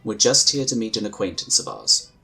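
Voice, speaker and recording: A man playing a calm, diplomatic male inquisitor who talks in a measured, courteous way. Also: on the low side, vocal fry, soft background music, exaggerated voice. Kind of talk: fan audio drama acting.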